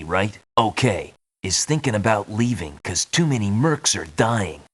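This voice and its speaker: A touchy, resentful mercenary in a video game. He speaks slowly and bluntly, with big jumps in pitch on short clipped lines. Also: a bit bright. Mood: grudging. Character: surly, touchy male mercenary with a grudge.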